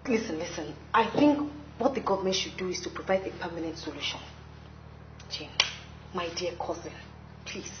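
An actress playing an earnest, insistent young nurse in a TV drama, with a Nigerian accent. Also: female voice, fairly low pitch, normal speed, pauses often, close to an everyday voice. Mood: earnest, upset. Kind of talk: acted TV drama dialogue. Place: indoor clinic office and ward. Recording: noisy, muffled, echoey room.

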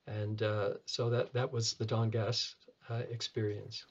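Halting older man from Canada, slightly muffled. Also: fairly low pitch, little pitch movement, breathy edge. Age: 70s. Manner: halting, repeats words, frequent pauses. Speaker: retina surgeon, interviewee. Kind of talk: reminiscing in an interview. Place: small clinic office, video call.

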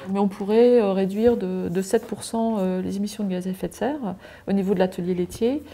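A woman's voice: medium pitch, brisk, mellow. A woman, a farm adviser explaining audit results methodically at a farmhouse kitchen table, with many fillers.

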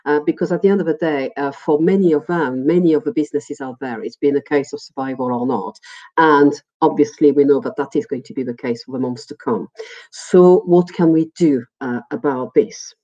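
A middle-aged woman with a French accent presents a webinar as a lecturer in a conversational, halting, digressive way, often repeating words. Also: low voice, dark tone. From France. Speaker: university lecturer. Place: small indoor room, video call. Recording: muffled, dull webinar audio.

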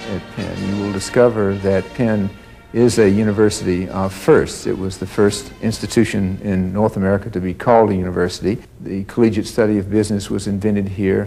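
A middle-aged man who leads a university, addressing the camera in a measured, stately way in a grand hall. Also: fairly low pitch, gravelly, warm tone. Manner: measured, stately delivery with some hesitations. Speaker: university leader.

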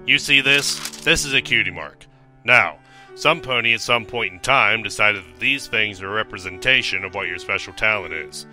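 A man's voice: on the low side, normal speed, sharp tone, faint music behind. A man voicing a jaded, crabby pony schoolteacher, sardonic and scolding, in a parody cartoon dub. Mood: sardonic ranting.

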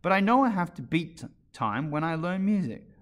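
Male reader as young girl, high pitch, curious, well-mannered, easily offended, animated. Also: normal speed. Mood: puzzled, weary. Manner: polite, questioning, indignant. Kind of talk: storybook character reading.

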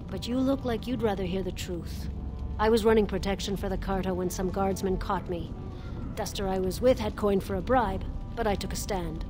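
A woman's voice: medium pitch, close to an everyday voice. An actress playing a crippled, casteless dwarf beggar woman who talks bluntly in salty street language, with game music behind her.

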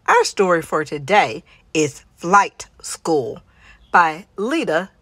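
A woman reading a picture book aloud to children as an expressive story hour narrator. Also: on the low side, slow, dry recording.

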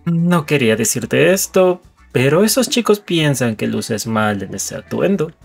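Man acting cheerful coaxing anime teen, husky voice, Latin American accent, soft music behind. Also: mid-range voice, very animated, measured pace, heavily acted. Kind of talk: anime comic fandub acting.

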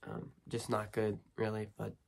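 A teenage boy with a cold, hoarse, chatting casually with many ums and restarts while unboxing movies in his bedroom. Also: lowish voice, completely flat delivery, moderate pace. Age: teens. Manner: rambling, lots of ums and restarts. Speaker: horror movie vlogger. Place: small bedroom studio with shelves.

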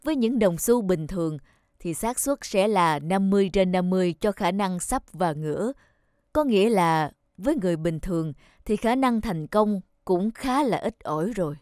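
A man voicing a stern but kindly middle-aged contest judge in a cartoon, measured and formal. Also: sits high, lively intonation, a little mellow, clean tone, no room sound, studio quality. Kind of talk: cartoon voice acting.

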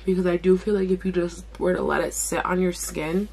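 A young woman with a raspy voice chatting casually through a skincare vlog in her bedroom, talking while she applies products. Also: fairly low pitch, steady tone, fairly quick, a little mellow, slight background noise. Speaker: beauty vlogger. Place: bedroom at home.

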